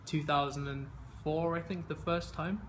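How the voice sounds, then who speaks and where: A man's voice: a little high, steady tone, normal speed, a little hiss or hum. A man in his twenties, raised in England, answering interview questions in an easygoing way with many fillers and restarts. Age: 20s. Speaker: interviewee, games player.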